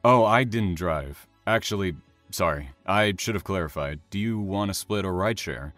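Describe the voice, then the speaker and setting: An actor playing a polite, reserved, slightly awkward man with formal, measured speech in an audio drama. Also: deep voice, expressive, fairly bright tone, dry recording, faint music behind. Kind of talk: naturalistic audio drama acting.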